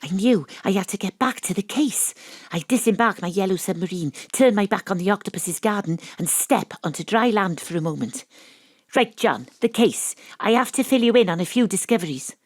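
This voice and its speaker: Actress as brash female private eye, Welsh accent, tipsy, deadpan, very dry close sound, audio drama. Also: mid-range voice, normal speed, no room sound, natural voice. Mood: wry, dreamy. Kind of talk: audio drama acting with first-person narration. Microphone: close home studio microphone.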